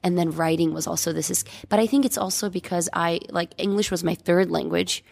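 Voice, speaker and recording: Low, gravelly-voiced woman from Bosnia. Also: steady tone, a little fast, darkish tone, no echo. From Bosnia and Herzegovina. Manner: reflective, searching for words with many fillers. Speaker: painter, interview guest. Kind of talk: casual interview conversation.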